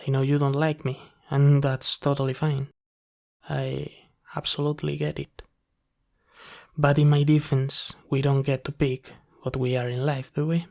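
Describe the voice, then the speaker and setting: A man playing a gentle, apologetic sleep paralysis demon in a soft, hesitant, reassuring ASMR roleplay. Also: medium pitch, flat delivery, creaky, natural voice. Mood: gentle, pleading. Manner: soft-spoken, hesitant, reassuring, pausing often.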